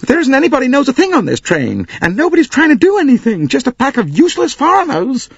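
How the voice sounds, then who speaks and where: A man voicing a fussy older woman traveler who complains loudly and tearfully. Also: extremely high pitch, huge pitch swings, fast talker, husky, no echo, muffled recording, voice pushed up, full character voice. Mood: indignant wailing.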